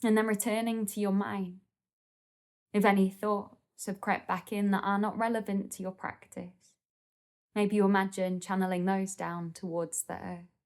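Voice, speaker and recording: A woman leading a guided meditation in a gentle, soothing, unhurried manner with pauses between phrases. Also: mid-range voice, flat delivery.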